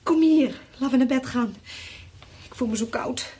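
A woman's voice: high-pitched, moderate pace, lightly acted. An actress in a radio drama playing a weary woman fleeing on foot, plaintive and reproachful, sometimes drifting into dreamy murmurs. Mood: needy. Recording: noisy radio play recording.

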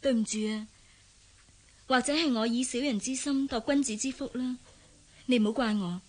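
High-voiced coy young woman. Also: normal speed. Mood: sincere. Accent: Hong Kong Cantonese. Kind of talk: Cantonese radio drama acting.

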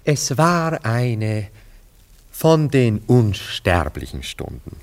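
An actor playing a proud, wistful lover in a classic radio play, brooding and theatrical. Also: male voice, mid-range voice, huge pitch swings, extremely slow, vocal fry, exaggerated voice. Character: proud, wistful, wounded romantic male lover.

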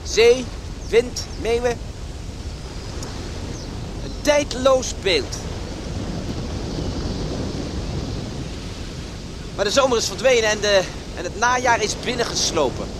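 An actor playing a serious, cautioning man in a Dutch radio play, speaking deliberately. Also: sits high, animated. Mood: pensive. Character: serious, cautioning male companion of a young woman. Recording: noisy, surf and wind behind. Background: sea waves and wind.

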